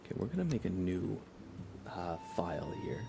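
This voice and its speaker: A man chatting casually on an ASMR game livestream in a soft, breathy voice that often slips toward a whisper, hesitant and rambling. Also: sits low, sing-song, moderate pace. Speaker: livestream host. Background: music.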